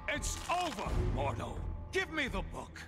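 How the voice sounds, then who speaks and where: Game sorcerer, slightly high, wildly animated, dramatic spellcaster delivery. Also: male voice, unhurried, loud music behind the voice, exaggerated voice. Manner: theatrical, urgent spellcasting delivery. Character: male sorcerer, earnest, forgetful mentor. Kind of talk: video game cutscene acting.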